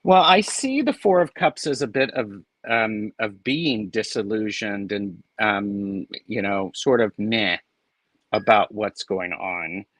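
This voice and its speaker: A man's voice: lowish voice, animated, takes it slowly, fairly bright tone, dry recording. A man joining a live tarot stream as a guest reader, talking in a hesitant, reflective way with lots of ums. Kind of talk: casual live-stream chat.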